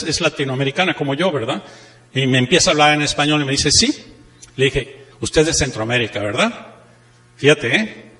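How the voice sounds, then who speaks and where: Higher-voiced northern Mexican man in echoey hall. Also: fairly bright tone. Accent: northern Mexican Spanish. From Monterrey, Mexico. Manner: rhetorical questions, repeats key phrases with emphasis. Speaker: seminar speaker, network marketing trainer. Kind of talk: motivational seminar talk with anecdotes. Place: seminar room, speaking to an audience. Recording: echoey hall with long reverb.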